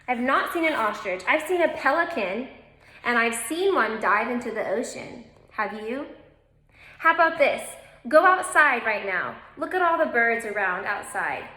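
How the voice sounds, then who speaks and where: Woman, elementary teacher, for a children's read-aloud; unhurried, instructive delivery speaking straight to kids, in an echoey room. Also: sits high, a bit bright. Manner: instructive, addresses young listeners directly. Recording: roomy, echoing indoor sound.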